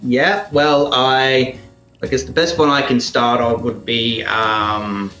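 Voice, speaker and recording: A man's voice: medium pitch, steady tone, slow pace, bright, forceful tone, echoey room, faint music behind, low-quality recording. A man being interviewed as a sound effects maker, casually and hesitantly explaining and demonstrating how he works. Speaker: radio drama maker and sound effects artist, interview guest.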